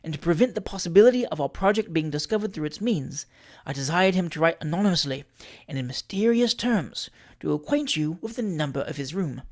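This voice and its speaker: Cool, quiet, scheming lady of forty, as a narrator plays her. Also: medium pitch, animated, close to an everyday voice. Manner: quiet, measured, dry and imperious. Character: cool, scheming, self-possessed buxom rich lady of forty.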